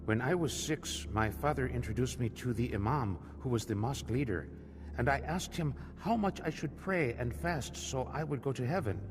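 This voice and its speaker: A man's voice: medium pitch, lively intonation, moderate pace, big-room sound, background noise, natural voice. An actor playing an earnest, defiant Iranian man in a radio drama, at times narrating his own story. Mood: reflective. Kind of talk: radio drama acting and first-person narration.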